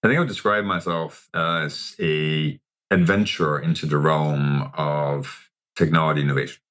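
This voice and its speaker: A man with a Danish accent, explaining things in a measured interview style as a guest, often posing rhetorical questions. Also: rumbling low, steady tone, fairly bright tone. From Denmark. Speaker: interview guest, technology executive.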